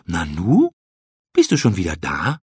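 A narrator voicing a puzzled, motherly rabbit mum in a children's storybook, asking with gentle concern. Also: sits low, huge pitch swings, unhurried, breathy edge, no room sound, studio quality, heavily acted. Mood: curious. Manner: questioning, motherly.

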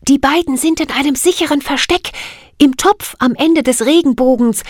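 A woman's voice: high-pitched, moderate pace, no echo, clean studio sound, voice pushed up, lightly acted. A woman reading a storybook voices an eager young girl. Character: two eager young girls, human.

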